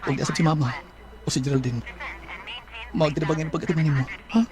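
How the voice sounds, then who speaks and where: A man's voice: fairly high pitch, fairly even intonation, quick pace, close to an everyday voice. A man with a Filipino Bisaya accent playing an anxious, protective husband of a sick woman in a radio drama. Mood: reassuring.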